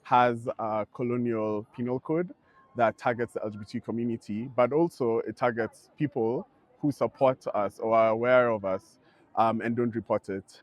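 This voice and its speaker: A young man speaks earnestly and with care, weighing his words as he reflects. His voice sits at an ordinary middle pitch. The recording is dry and close, with no room echo. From Kenya. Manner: thoughtful, measured, earnest. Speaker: founder of an advocacy network. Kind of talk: reflective interview monologue.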